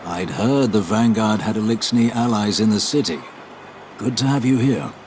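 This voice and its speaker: A courteous military scout, played by a man briefs in a video game. His voice is on the low side, genteel and dryly wry, with a faint bed of noise behind it. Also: moderate pace, a little mellow, natural voice. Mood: cordial. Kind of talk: mission briefing in game cutscene.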